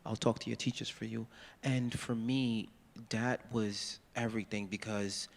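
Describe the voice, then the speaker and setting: A man's voice: fairly low pitch, fairly even intonation, unhurried, creaky. A man from Ghana on a live panel, telling earnest stories about his mentors and quoting them firmly. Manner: earnest storytelling, quotes mentors in a firm voice. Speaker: panelist, musician and volunteer mentor. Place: large hall. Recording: long hall reverb from a live panel.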